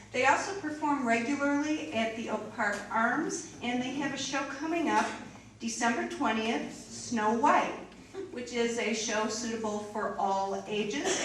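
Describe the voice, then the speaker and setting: A woman giving an informal introduction to a live audience as an event presenter. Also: on the high side. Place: large echoey room, live event. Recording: echoey room, distant mic, hiss.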